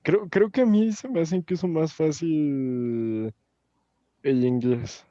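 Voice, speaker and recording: A young man student, chatting in class in an easy, relaxed way. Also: fairly high pitch, slow, no echo. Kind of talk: casual class chat. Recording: dry, dull lo-fi audio.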